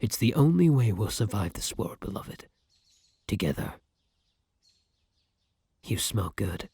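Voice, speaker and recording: A man's voice: fairly low pitch, sing-song, takes it slowly, creaky, a little mellow, husky, no room sound, full character voice. A man playing a devoted, old-fashioned vampire in an ASMR roleplay, speaking formally and with flowery affection. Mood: tender, soothing. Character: courtly, devoted gentleman vampire, male, tender and old-fashioned. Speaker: roleplay voice actor. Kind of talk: ASMR roleplay acting addressed to the listener.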